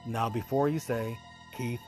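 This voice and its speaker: A man's voice: mid-range voice, fairly even intonation, unhurried, darkish tone. A man in his fifties hosting a show, giving a casual scripted introduction. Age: 50s. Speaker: podcast host. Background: music.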